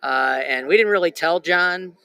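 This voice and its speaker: Bright-voiced rambling male host. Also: sits high, normal speed. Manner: animated, rambling with many restarts and fillers. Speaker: podcast host. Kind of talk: casual chat.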